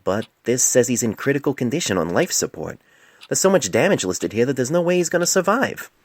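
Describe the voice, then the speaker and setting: A man's voice: medium pitch, big pitch swings, fairly quick, husky, no room sound, voice pushed up. A man voicing a young male pony resident doctor in a storybook reading, defensive, indignant and smart-aleck. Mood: exasperated.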